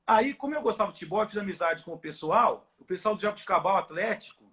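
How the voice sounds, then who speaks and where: High-voiced middle-aged Brazilian male guest. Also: animated, fast, fairly bright tone. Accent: Brazilian, São Paulo interior. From Ribeirão Preto, São Paulo, Brazil. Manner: friendly, informal. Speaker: guest, football club doctor. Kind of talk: casual interview chat. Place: at home on a video call.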